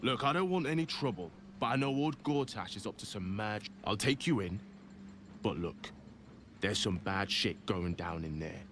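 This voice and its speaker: A man playing a wary, rough-talking pilot in a video game, blunt, slangy and grudging in manner. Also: fairly low pitch, expressive, normal speed, a bit bright, a little hiss or hum. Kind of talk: video game character acting.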